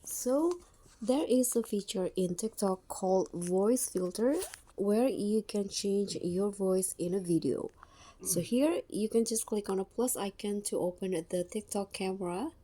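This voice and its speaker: A woman presenting a step-by-step screen tutorial as a voice-over, with a halting, instructional delivery. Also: medium pitch, warm tone.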